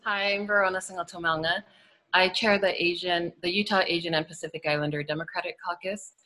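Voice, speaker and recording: A woman's voice: on the low side, fairly even intonation, brisk, sharp tone, low-quality recording. A woman who chairs a caucus and works in mental health, introducing herself at a meeting. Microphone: video call.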